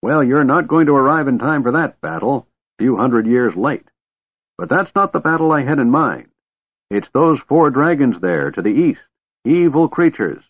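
A man's voice: medium pitch, lively intonation, moderate pace, husky, dry recording, phone-quality sound, voice pushed up. A man voicing a cranky, scatterbrained old wizard in a fantasy audiobook, blustering and flustered. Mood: snorting, insistent.

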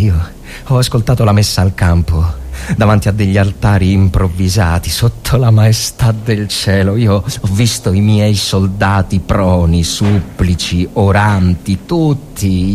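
A man's voice: lowish voice, a little slow, darkish tone, lightly acted. A man acts an army lieutenant in a war story, fatherly toward his men yet agitated. He speaks with emphatic, solemn feeling. The recording carries audible background noise. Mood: impassioned. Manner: impassioned, emphatic.

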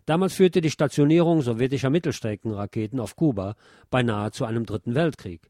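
Guy reading a political commentary script, normal pitch, dry studio-like sound. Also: a little fast, mellow, dry recording.

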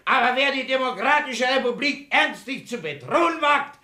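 An actor playing a blustering, domineering middle-aged town councillor in a radio play, pompous and patronizing. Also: male voice, extremely high pitch, animated, moderate pace, bright, forceful tone, full character voice. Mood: outraged oratory.

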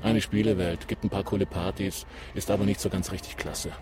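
A man's voice: low voice, steady tone, a little fast, very noisy, natural voice. An actor playing a cool, flirtatious male gamer in a radio drama, with casual, slangy delivery. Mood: impressed, casual. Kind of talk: scripted radio drama acting. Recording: music behind the voice.

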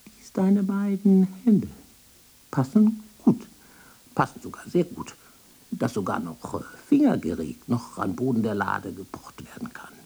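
A woman's voice: very deep voice, sing-song, creaky, dark tone, husky, heavily acted, poor audio. A woman playing a frantic, obsessive archive dweller in a radio drama, speaking in broken, repeated commands and often dropping to a whisper. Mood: intent, muttering. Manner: halting, repetitive, clipped telegraphic phrases, often whispering. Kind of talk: avant-garde radio drama acting.

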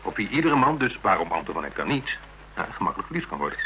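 Low-voiced, formal, hesitant lawyer. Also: male voice, very animated, fast, sharp tone, dull audio, full character voice. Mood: earnest, defensive. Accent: Netherlands Dutch. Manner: formal, hesitant, wordy, precise. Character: formal, earnest, hesitant male legal adviser, loyal family friend. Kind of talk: radio drama dialogue. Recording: noisy, hissy radio drama recording.

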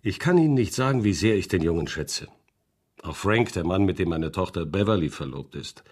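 A man playing an earnest middle-aged farmer and family man with a husky voice, in an audio drama. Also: deep voice, lively intonation, a little fast, a little mellow. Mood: solemn, grateful.

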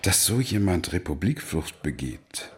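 A man's voice: deep voice, very animated, unhurried, a little hiss or hum. A man acting as a sardonic older drinker in a German radio drama, needling and theatrical in his delivery. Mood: sardonic, probing. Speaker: actor.

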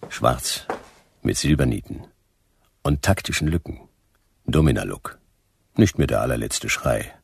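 A laconic noir private eye for a radio play. His voice is extremely deep, with a heavy creak and a lively swing in pitch. He delivers short, dry, deadpan fragments. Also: no echo, heavily acted. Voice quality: gravelly. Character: laconic hard-boiled male private detective narrator. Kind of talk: scripted noir radio drama narration.

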